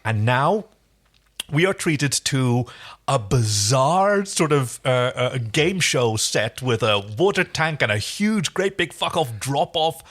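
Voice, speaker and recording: A man co-hosting a casual TV recap, sometimes halting as he searches for words, then rushing on. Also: medium pitch, expressive, fairly bright tone. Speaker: podcast co-host. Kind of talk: casual TV episode recap discussion.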